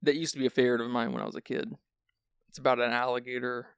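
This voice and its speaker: A man's voice: mid-range voice, flat delivery, rapid-fire, clear, resonant tone, no echo, studio quality. A man hosting a film podcast who sounds sleepy, chatting casually and rambling with restarts. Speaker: podcast host.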